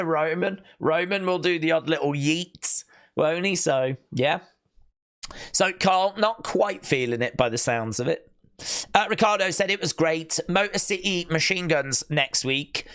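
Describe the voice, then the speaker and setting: A man's voice: a little high, a bit bright, clean tone. A man hosting a wrestling review show, chatting informally and reading out comments with jokey asides. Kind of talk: casual chat, reading out viewer comments.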